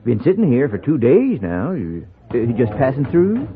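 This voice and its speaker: An actor playing a deferential, nosy bartender in a radio western, hesitant and folksy. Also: male voice, medium pitch, huge pitch swings, moderate pace. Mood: nosy, apologetic. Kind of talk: 1950s radio western acting. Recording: tinny old radio recording, crowd chatter behind.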